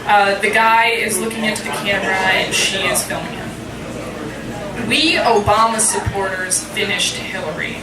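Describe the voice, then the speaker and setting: A woman poet giving a live reading, deliberate in her phrasing and frank and informal in her delivery. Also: medium pitch. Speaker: poet, featured reader. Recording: echoey, distant mic, loud crowd noise.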